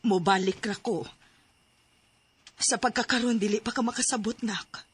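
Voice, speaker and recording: A woman playing a mother who is leaving to work abroad, speaking gently and apologetically to her son. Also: on the high side, fairly even intonation, moderate pace, close to an everyday voice. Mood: apologetic. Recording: loud background noise.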